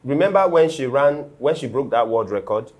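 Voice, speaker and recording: An animated Nigerian man hosts a casual sports chat, his Nigerian accent clear as he teases his co-host and laughs easily. His voice sits at an everyday middle pitch. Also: normal speed. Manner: animated, teasing, laughs often.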